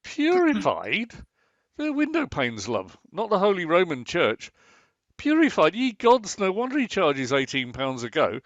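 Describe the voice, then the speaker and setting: A man's voice: high-pitched, wildly swooping pitch, a little slow, dry recording, heavily acted. A man playing a grumbling, sarcastic husband in a radio play, theatrically indignant and given to sardonic exclamations. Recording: dry, slightly dull lo-fi sound.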